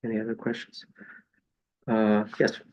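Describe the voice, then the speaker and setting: A man moderating a literary discussion, speaking in brief relaxed remarks. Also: lowish voice, a little slow, dull audio. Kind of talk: conversational discussion.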